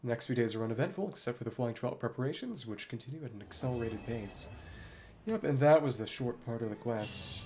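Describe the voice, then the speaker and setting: A man's voice: mid-range voice, expressive, brisk, a little mellow, a little hiss or hum. A man streaming a game, musing to himself in short asides and reading dialogue aloud in a casual way. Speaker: gaming streamer.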